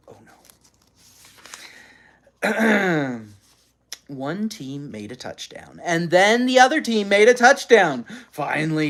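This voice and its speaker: Expressive read-aloud narrator for children's fiction, mid-pitched, with wide swings in intonation. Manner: animated, acts out characters' lines. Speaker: storyteller reading aloud.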